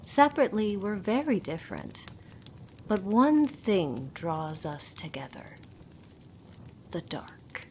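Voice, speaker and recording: A woman narrating a scripted horror story as a storyteller, dramatic and deliberate, dropping at times toward a whisper. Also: mid-range voice, big pitch swings, darkish tone, slight background noise.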